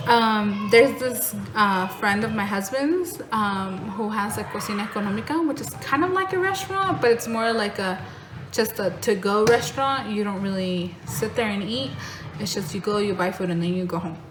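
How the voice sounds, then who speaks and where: A woman's voice: medium pitch, moderate pace. Chatty young American woman, echoey room. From born in Mexico, raised in North Carolina. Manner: chatty, rambling, lots of "like" and "um". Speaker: vlogger. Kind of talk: casual talking-head vlog. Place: home in Mexico. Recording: echoey room with noticeable background noise.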